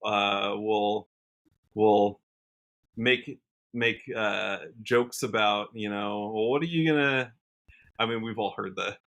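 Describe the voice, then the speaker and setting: A man with an American accent and a bright, forward voice. He talks casually as a podcast guest, earnestly circling his point, stopping and rewording as he goes. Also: lowish voice. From Washington state, USA. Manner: halting, earnest, trails off and rephrases often. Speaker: podcast guest, country singer. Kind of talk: casual interview chat.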